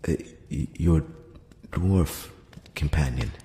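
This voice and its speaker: A man playing a courteous, curious elf prince in an intimate ASMR fantasy roleplay, speaking softly and formally with some hesitation, close to the mic. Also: rumbling low, slow pace. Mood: pleased, shy. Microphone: close-up microphone. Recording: roomy long reverb, background hiss.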